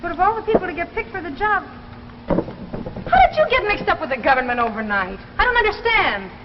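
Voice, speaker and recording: High-pitched nagging wife, echoey recording. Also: female voice, sing-song, fairly quick. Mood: incredulous, probing. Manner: scolding, incredulous. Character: nagging, scornful wife, sharp and suspicious. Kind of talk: 1950s television drama acting. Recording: muffled, echoey old broadcast recording, faint noise.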